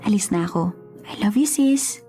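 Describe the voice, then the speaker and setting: A woman's voice: mid-range voice, animated, normal speed, mellow, faint music behind, lightly acted. An actress playing an evasive, guilt-ridden older sister in a Tagalog radio drama, hesitant and pleading as she makes excuses.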